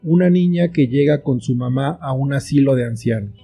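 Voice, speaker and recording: A man with a very mellow, dark voice answers interview questions in a conversational way. He sits at an average male pitch and builds his thoughts reflectively, phrase by phrase. Faint music plays behind him. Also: moderate pace, vocal fry, slightly rough. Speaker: guest, children's book author and screenwriter. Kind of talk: conversational interview, explaining with examples.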